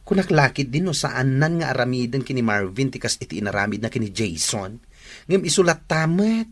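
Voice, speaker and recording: A woman's voice: extremely low pitch, very animated, a little fast, exaggerated voice. A woman playing a blunt, exasperated young friend, sassy and emphatic, in an Ilocano radio drama. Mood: disapproving. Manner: sassy, emphatic, mixes in English.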